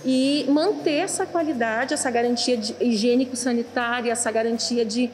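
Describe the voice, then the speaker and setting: A woman in her thirties with a Brazilian Portuguese accent talks in a chatty, high voice, tagging sentences with "né". She speaks into a handheld mic in a large kitchen, with soft music in the background. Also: moderate pace. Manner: chatty, frequent "né" fillers. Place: large kitchen with steel tables. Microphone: handheld reporter mic.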